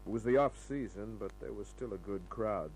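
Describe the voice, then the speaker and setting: Low-voiced wisecracking radio detective played by a man. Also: a little fast, darkish tone, close to an everyday voice, rough recording. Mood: dry, narrating. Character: wisecracking male private detective, flirtatious, tough, easygoing. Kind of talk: old-time radio drama acting with first-person narration. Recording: old radio recording with hum.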